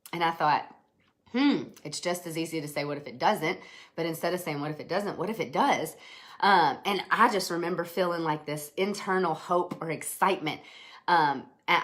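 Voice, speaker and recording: A woman in her thirties with a slight head cold, giving an earnest, chatty business pitch on a live stream, with many fillers and restarts. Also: a bit bright. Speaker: network marketing presenter.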